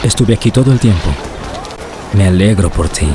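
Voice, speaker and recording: Actor as a persuasive young seminarian, lowish voice, street noise around. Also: male voice, expressive, moderate pace, lightly acted. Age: young adult. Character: ambitious young seminarian, well-connected and eager. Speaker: seminarian friend.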